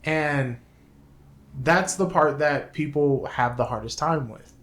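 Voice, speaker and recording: A man's voice: a little high, slow pace, a bit bright. A man co-hosting a podcast in casual conversation, talking in a self-deprecating way. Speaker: podcast co-host. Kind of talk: casual chat. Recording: clearly noisy room recording.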